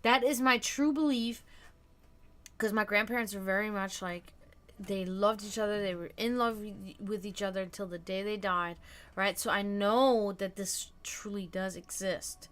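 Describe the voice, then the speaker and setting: A woman's voice: mid-range voice, steady tone, normal speed. Frank, musing woman in her thirties. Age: 30s. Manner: frank and reflective, often trailing off quietly. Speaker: stream host. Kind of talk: casual chat on a live stream.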